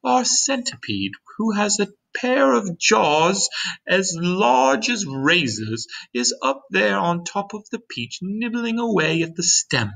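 A gentle, motherly storybook ladybug, played by a man, speaking well up high in a bright tone. The delivery is wordy and grand, full of eager reassurance, with a lively rise and fall. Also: slow pace, vocal fry, slightly rough, full character voice, rough recording. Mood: explaining. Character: kind gentle motherly ladybug, excited and talkative. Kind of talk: storybook read-aloud character voice.

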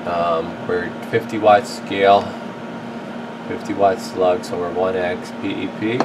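A man's voice: deep voice, measured pace. A man giving casual hands-on repair commentary as a technician and video host, thinking aloud while he works. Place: indoor electronics workshop bench. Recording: noisy workshop with steady background hum.

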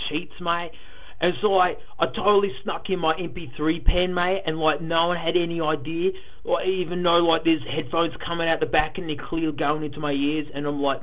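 A man playing an anxious young student, rambling with constant "mate"; slightly high voice, tinny recorder sound. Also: close to an everyday voice. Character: stressed young male student rambling about exams. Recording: tinny lo-fi cheap pen recorder.

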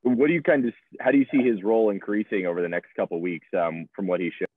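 A man's voice: on the low side, quick pace, breathy edge, no room sound. A young man in his twenties, a reporter asking loose, filler-heavy questions over a video call. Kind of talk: press conference questions. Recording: tinny phone-like line.

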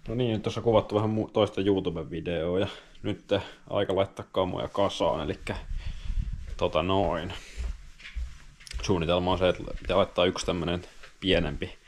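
A young Finnish man talking casually to the camera in a vlog, relaxed and rambling with many fillers. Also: low voice. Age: young adult. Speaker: vlogger and roller skier. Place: in a car, outdoors on a road, indoors at home. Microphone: handheld or dash camera. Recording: dull lo-fi sound with background noise.

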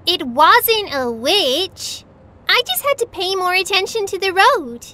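A woman's voice: extremely high pitch, animated, a little slow, sharp tone, a little hiss or hum, exaggerated voice. A woman voicing a sensible, confident little girl in a children's cartoon, explaining things matter-of-factly. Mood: calm.

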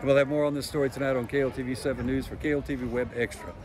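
A man's voice: medium pitch, steady tone, rapid-fire, crowd noise. A man, a local TV reporter doing a casual on-location interview. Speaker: TV news reporter. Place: outdoors beside an event tent. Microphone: phone at arm's length. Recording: outdoor ambient noise, vehicles.

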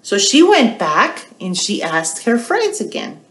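Lively, unhurried woman storytime host, echoey room. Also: mid-range voice. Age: middle-aged. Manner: deliberate, questioning, repeats phrases in two languages. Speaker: children's storytime host at a public library. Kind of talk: bilingual storytime teaching and narration for small children. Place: small quiet indoor room.

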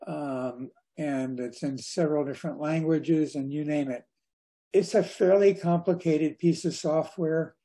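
Older man presenting, mellow higher-pitched voice. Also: measured pace. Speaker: guest speaker at a radio club. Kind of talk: informal slide presentation. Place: indoors on a video call.

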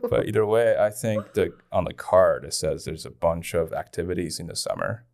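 A man's voice: deep voice, normal speed, vocal fry, darkish tone. A man in his twenties from China with a Chinese accent, telling his story casually as a podcast guest on a close studio mic. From Dalian, China. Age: 20s. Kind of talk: casual personal testimony in an interview. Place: small indoor podcast studio. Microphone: close boom-arm studio microphone.

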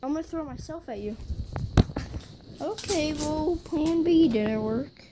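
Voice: sits high, measured pace. A performer voicing a pushy, pleading lion puppet in an improvised home skit, with insistent, whiny, slangy talk. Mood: playful complaining. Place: small carpeted bedroom. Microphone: handheld phone. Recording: noisy amateur phone recording with clicks.

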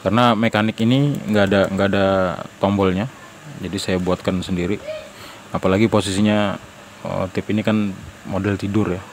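A man giving a casual, halting, informal hands-on demonstration, pausing mid-phrase. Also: fairly low pitch, fairly even intonation, a little hiss or hum. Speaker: host of a DIY audio channel.

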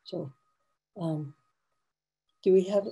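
A woman hosting a video-call town hall, speaking in a soft, unhurried way and humming acknowledgments. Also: on the low side, slightly rough, muffled recording. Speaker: host.

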